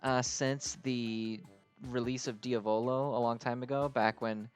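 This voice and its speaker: A man's voice: mid-range voice, flat delivery, measured pace, soft background music. A man giving casual, unscripted game commentary as a video host, explaining things in a hesitant, rambling way.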